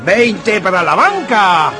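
An actor in a radio drama playing a courteous, showy casino croupier who calls out bets with drawn-out, projected words. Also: male voice, high voice, very animated, music in the background, heavily acted. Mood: announcing.

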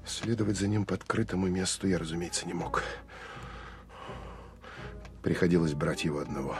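An actor playing a hardened, sardonic counterintelligence officer who tells of an armed pursuit in an intense, expressive first-person audio drama. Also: male voice, deep voice, normal speed, faint music behind. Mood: tense, controlled. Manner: intense, expressive storytelling with wry asides.

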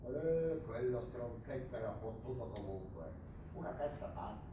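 A man on stage plays a menacing military officer of a dictatorship, boastful and full of scorn. His delivery is declamatory and pompous, at a middling pitch. It reaches the listener from a distance, through heavy echo and clear background noise. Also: lively intonation, normal speed, natural voice. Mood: cold menace. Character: menacing, boastful Argentine army captain of the dictatorship. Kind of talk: theatrical stage drama acting. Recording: very echoey distant stage recording, noisy.

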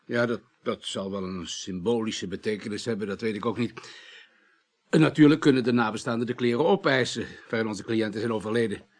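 An actor playing a chatty, obliging undertaker in a radio drama, with a wry, mock-ceremonious way of talking. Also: male voice, lowish voice, fairly quick, natural voice. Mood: chatty, matter-of-fact. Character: chatty male undertaker, obliging, wryly jovial.

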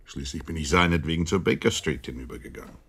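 An actor playing a sceptical, slightly exasperated Victorian doctor in a German radio play, husky and deliberate in his dry delivery. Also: very deep voice, fairly bright tone. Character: Holmes's loyal doctor friend, Victorian, sceptical, a little exasperated.

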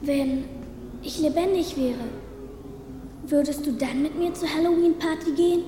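A performer voicing a gentle, lonely little boy ghost, hesitant and wistful, in a German radio play. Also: high voice, unhurried. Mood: shy, tender. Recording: echoey hall reverb, faint noise.

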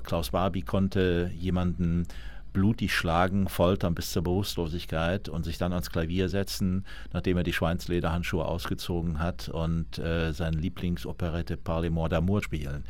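A man speaks as an expert commentator in a deep voice. His intonation stays flat, and he explains things deliberately, searching for his phrasing.

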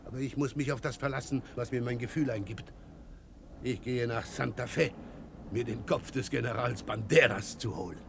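An actor playing a defiant, impulsive Creole landowner leading a rebellion, speaking formally and resolutely in a German radio play. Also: male voice, medium pitch, moderate pace, gravelly, noisy background, close to an everyday voice.

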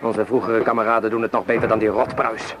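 An actor playing a fervent young revolutionary fighter in a Dutch radio play, impassioned and urgent. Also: male voice, fairly low pitch, level tone, fast talker, close to an everyday voice. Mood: commanding, urgent. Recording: noisy old radio drama recording.

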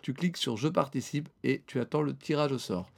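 A man co-hosting a casual podcast, his voice gravelly and stuffed up from a cold. He rambles through his points with fillers and restarts. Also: mid-range voice, brisk. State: has a cold. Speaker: podcast co-host. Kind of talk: casual chat.

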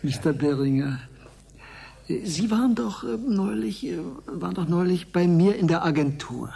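An actor playing an inquisitive, impatient investigator in a science fiction radio play, halting and repeating his own words. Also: high-pitched, animated, creaky, mellow, slightly rough, full character voice. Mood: probing, hesitant.

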